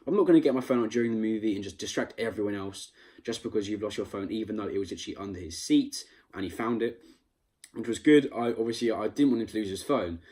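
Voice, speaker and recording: A man's voice: on the low side, fast, warm tone. A young man with a London accent talking casually and emphatically, with some swearing, as an amateur film reviewer in a vlog.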